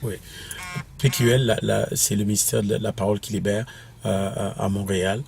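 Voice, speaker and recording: Gravelly low-voiced man, halting speech. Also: fairly even intonation, darkish tone, a little hiss or hum. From Quebec. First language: English. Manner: halting, many restarts and fillers. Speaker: apostolic minister and author. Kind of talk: interview answers.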